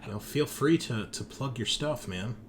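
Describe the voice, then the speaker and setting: A man's voice: mid-range voice, expressive, normal speed. A man chatting easily and casually as he hosts and introduces a tabletop game show. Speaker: podcast host and game master. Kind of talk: casual podcast intro chat. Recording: noticeable background noise.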